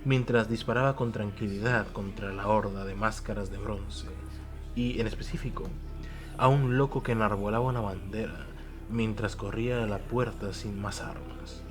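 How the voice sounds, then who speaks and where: A man's voice: on the low side, fairly even intonation, normal speed. A man giving a solemn, theatrical scripted narration, as in a dramatised audiobook. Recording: music bed behind the voice. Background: music.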